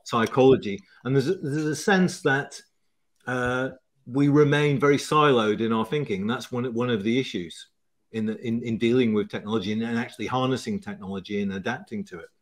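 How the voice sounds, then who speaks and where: A man interviewing in a conversational way, haltingly repeating words as he frames his points. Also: medium pitch.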